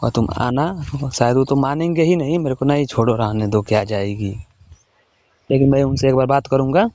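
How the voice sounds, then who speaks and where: A man speaks casually on a private phone call in a dark, mellow voice at a middling pitch. He is insistent, handing out instructions and checking for agreement. The recording is dull and lo-fi. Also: moderate pace, no echo.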